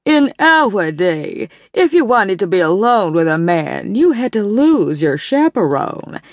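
A woman's voice: medium pitch, very animated, no room sound. Woman putting on Evelyn, a disapproving Boston society lady. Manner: slow, disapproving drawl. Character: prim, judgmental middle-aged society lady.

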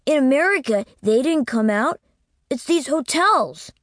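A cheeky young American boy, boastful and loud about his home country, played by a woman reading a storybook. His voice sits high and leaps around with bratty emphasis. It is recorded close and dry. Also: animated, unhurried, no room sound. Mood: complaining.

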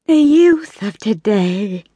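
A doleful middle-aged lady at the bridge table, as a woman narrator puts her on, who draws out her words slowly and sighs over the present. Her voice sits high and swoops upward, recorded close and dry. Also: very animated, darkish tone, husky, no echo, exaggerated voice. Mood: lamenting. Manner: slow, lamenting.

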